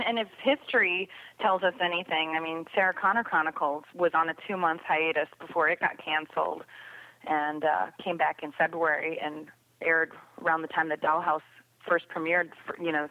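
A woman with a slightly low voice gives emphatic opinions in a casual TV review chat. She comes through a muffled, phone-like connection with little room sound. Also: steady tone, moderate pace, no echo. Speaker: podcast guest commentator on sci-fi TV. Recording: dull, phone-like line.

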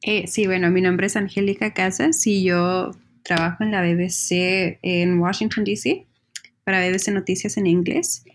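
A woman from Texas, a journalist guest who chats casually in a calm, measured way. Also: fairly low pitch, slight background noise. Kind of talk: casual chat.

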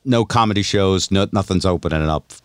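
A man's voice: low voice, a little fast, dry recording. A middle-aged man hosting a radio show in casual, joking banter.

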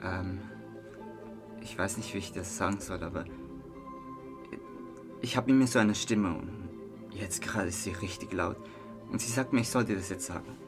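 A young man playing a lovesick, awkward, stoned partygoer in an audio drama, halting and earnest. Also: sits low, close to an everyday voice. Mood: nervous, lovestruck. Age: young adult. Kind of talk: amateur audio drama dialogue. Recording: music behind the voice.

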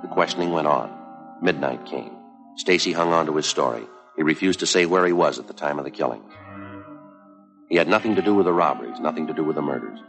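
An actor playing a terse, businesslike police detective who narrates his case in a clipped, deadpan 1950s procedural style. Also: a bass voice, fast talker, creaky, heavily acted. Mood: matter-of-fact, grave. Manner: deadpan, matter-of-fact. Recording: 1950s TV soundtrack with music.

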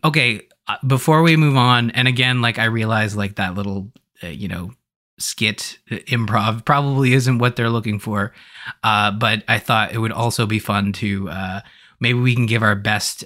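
A man hosting a podcast in casual conversation, talking in an animated, rambling way as he thinks aloud. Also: fairly low pitch, normal speed. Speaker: podcast host.

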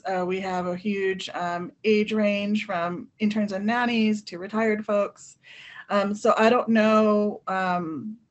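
A woman talks through her ideas slowly and carefully, stopping often with soft fillers as she searches for words. Her pitch is mid-range, and her tone stays conversational and reflective. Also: dull audio. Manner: thoughtful, hesitant with many fillers. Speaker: panel guest. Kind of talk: conversational discussion.

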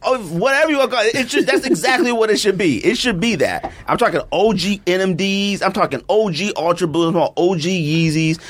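A man's voice: high-pitched, brisk, few pauses. A man co-hosting a podcast in casual chat, ranting with lots of laughter. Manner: animated, ranting, laughs often. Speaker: podcast co-host.

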